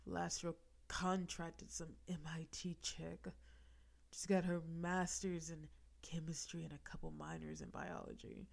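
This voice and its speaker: A woman's voice: on the low side, animated, darkish tone, heavily acted. A woman playing a flirty, menacing mafia boss in an audio roleplay, confident and smug, with a teasing, suggestive delivery. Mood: businesslike, smug. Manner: teasing, suggestive, slow deliberate delivery with breathy asides. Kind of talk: audio roleplay with gumshoe cliches.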